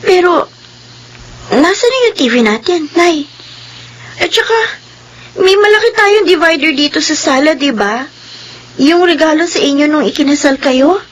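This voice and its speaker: A woman playing a grateful, earnest young student in a radio drama, speaking softly and respectfully. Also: high voice, animated, unhurried, long pauses, poor audio. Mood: puzzled.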